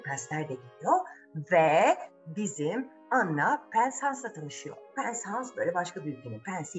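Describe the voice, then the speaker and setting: A woman tells a children's fairy tale in a very animated, dramatic way. She chats informally to young listeners and keeps asking them questions, with music playing softly behind her. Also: lowish voice. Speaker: storyteller.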